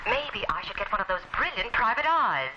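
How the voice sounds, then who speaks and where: A woman's voice: on the high side, very animated, brisk, loud music behind the voice. An actress playing a flustered, wealthy society lady with a fussy, genteel, gushing manner in a 1960s training film. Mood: fretful, haughty. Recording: noisy old 1960s film soundtrack.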